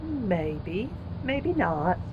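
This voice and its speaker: A woman's voice: mid-range voice, very animated, drawn-out words, lo-fi sound, noisy background. An actress playing a dreamy young romantic woman in old-time radio drama style. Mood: coy, dreamy. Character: dreamy romantic young woman, secretly a company sales sample.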